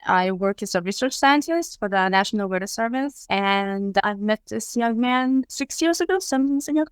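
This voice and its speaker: A woman in her thirties with a Bulgarian accent speaks in a smooth, clear voice, pausing often with ums as she recounts her story. The sound is dry and close, with no room around it. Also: medium pitch, lively intonation, no echo. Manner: measured, with frequent ums and uhs. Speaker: interview guest. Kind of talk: casual conversation.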